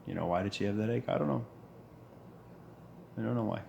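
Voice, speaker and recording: Man, 40s, deep gravelly voice, earnest, halting, faint hiss. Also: a little mellow, muffled recording. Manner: earnest, with pauses and restarts. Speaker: vlogger. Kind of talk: reflective monologue.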